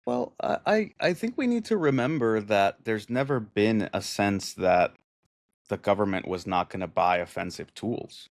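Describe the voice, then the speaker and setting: A man's voice: fairly low pitch, expressive, normal speed, dry recording, clean studio sound. A man co-hosting a podcast, talking in a rambling, profane, argumentative way. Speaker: podcast co-host. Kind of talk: casual chat.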